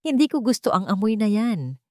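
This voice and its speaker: A woman's voice: medium pitch, very animated, fairly quick, dry recording, studio quality, pitched above the performer's own voice, close to an everyday voice. A woman voicing a furious, wealthy old grandmother who gives scornful, commanding orders in a dramatic story.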